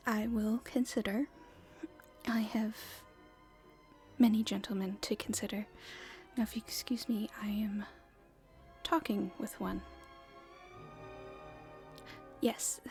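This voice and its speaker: Girl acting as a stifled young princess, asmr roleplay, soft whispery voice, slow and formal, music behind. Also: mid-range voice, steady tone, natural voice. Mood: polite, reserved. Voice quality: soft, breathy, often whispered. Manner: formal, polite, hesitant. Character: proper young princess at her debut, stifled, secretly rebellious.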